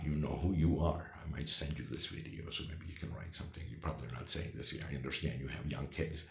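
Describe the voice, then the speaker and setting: A man's voice: rumbling low, gravelly, roomy sound. An older man telling his own life story candidly, rambling with many fillers and restarts. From United States.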